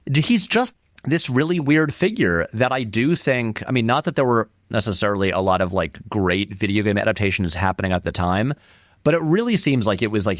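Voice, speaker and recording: A man with a fairly low voice talks film as an interview guest in a casual, conversational way. His pitch moves around a lot as he qualifies his points. The sound is dry and close. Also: dry recording.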